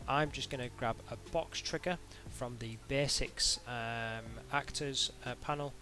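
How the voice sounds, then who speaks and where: A man narrating an informal software tutorial in a hesitant, halting way, as if thinking aloud. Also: medium pitch, slight background noise. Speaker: tutorial instructor.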